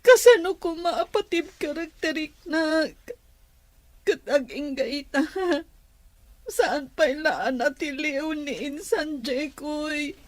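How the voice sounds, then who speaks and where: A woman's voice: high-pitched, slow pace, no room sound. A woman playing a distressed young daughter who speaks tearfully and pleadingly.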